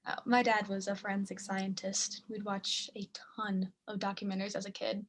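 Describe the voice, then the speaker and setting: A woman's voice: medium pitch, flat delivery, moderate pace, lightly acted. A young woman acting on stage as a morbidly curious girl on a date, speaking casually and a little hesitantly. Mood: curious, chatty. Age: young adult. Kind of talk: student stage acting, naturalistic dialogue.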